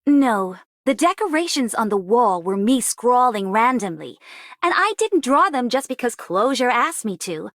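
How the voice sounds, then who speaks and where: A woman's voice: fairly high pitch, big pitch swings, normal speed, fairly bright tone, dry recording, studio quality. A woman voicing a grumpy, reclusive young artist in a video game, brusque and exasperated. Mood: annoyed. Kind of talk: video game character voice lines.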